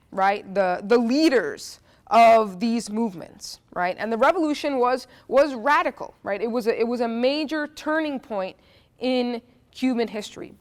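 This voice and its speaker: A woman from New Jersey giving a chatty, interactive history lecture to a class, with a stuffy nose from allergies and frequent "um"s. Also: a little high. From New Jersey, United States. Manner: chatty, asks the audience questions, frequent "uh" and "um".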